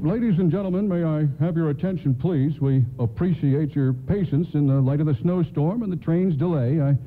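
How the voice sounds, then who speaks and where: An actor playing a kindly, folksy older train conductor who stammers apologetically in a radio play. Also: male voice, on the high side, moderate pace, close to an everyday voice. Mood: courteous announcing. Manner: stammering, hesitant. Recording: thin phone-like band, noisy radio broadcast.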